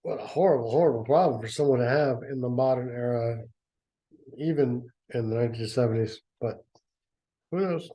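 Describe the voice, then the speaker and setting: A man's voice: mid-range voice, moderate pace, warm tone, poor audio. A man reading a story aloud and breaking off for sarcastic, mocking commentary. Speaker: reader and commentator.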